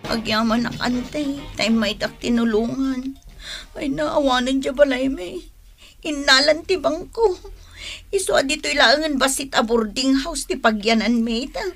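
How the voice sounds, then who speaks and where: Plaintive, high-voiced Ilocano wife. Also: female voice, unhurried, fairly bright tone, soft background music. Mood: grateful. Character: distressed married woman burdened by debts, remorseful wife. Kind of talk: Ilocano radio drama acting.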